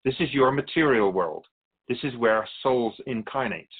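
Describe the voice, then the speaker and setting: Through thin, phone-like audio comes a calm otherworldly being, played by a man, with a mid-pitched voice. He explains humanity to humans in a measured, knowing, teacherly manner, as a guest in a radio drama interview. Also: natural voice. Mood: calm, explanatory.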